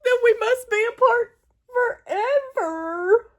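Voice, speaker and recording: A woman's voice: extremely high pitch, expressive, slow pace, pitched above the performer's own voice, exaggerated voice. A woman voicing a distraught, melodramatic male elephant in a storybook, wailing and stammering with exclamations. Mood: tragic despair.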